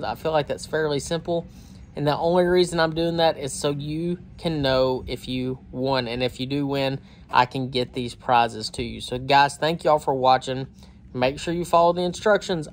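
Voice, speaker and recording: A man's voice: mid-range voice, slight background noise. A man, hosting a fishing channel and talking informally straight to a phone camera on a cabin porch. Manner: talkative, informal, uses y'all. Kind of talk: casual direct-to-camera vlog. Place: outdoor porch of a wooden cabin. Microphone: phone camera at arm's length.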